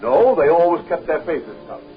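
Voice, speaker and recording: A man's voice: fairly high pitch, very animated, moderate pace. An actor playing an earnest middle-aged scientist and father, rescued from captivity, in a 1930s film serial. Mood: anxious, earnest. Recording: dull 1930s film soundtrack, faint music.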